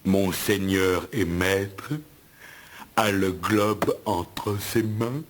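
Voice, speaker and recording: A man's voice: deep voice, steady tone, noisy background. A man playing a mocking, sinister henchman to a villainous master in French cartoon dub acting. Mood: sinister gloating. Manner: mocking, ominous, deliberate. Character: sinister male henchman serving his master, taunting. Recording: music under the voice. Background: music.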